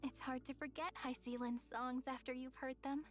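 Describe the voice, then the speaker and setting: An actress voicing a playful, gentle young woman in a video game, speaking in a coy, tender, poetic way. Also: high-pitched, fairly even intonation, normal speed, dry recording, soft background music, close to an everyday voice. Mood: dreamy, coy. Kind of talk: scripted game character voice lines.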